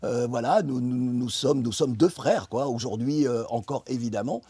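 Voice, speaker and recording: A middle-aged man from France, a guest in an interview, speaking emphatically about politics with many pauses and restarts. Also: mid-range voice, mellow, slightly rough, no room sound. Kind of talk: impassioned political interview talk.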